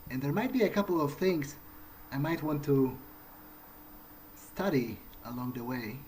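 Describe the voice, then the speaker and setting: Halting, slow-talking rueful young vampire played by a man. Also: fairly high pitch, expressive, a little mellow, slight background noise. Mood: dry, puzzled. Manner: halting, rambling, musing with dry sarcasm. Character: rueful, bewildered newly turned young male vampire. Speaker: gaming role-player. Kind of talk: in-character improvised game monologue, thinking aloud.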